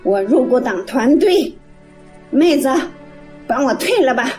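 An actress plays a humble, trusting townswoman in a radio drama. She speaks very slowly and sincerely, in a slightly high, mellow voice. Music runs behind her. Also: very animated. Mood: grateful realization. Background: background music.

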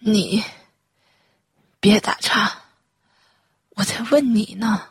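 A woman playing an anxious, ailing elderly mother in a radio drama, with a breathy, husky voice and a probing manner. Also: medium pitch, drawn-out words, dry recording, studio quality, full character voice. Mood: suspicious, probing.

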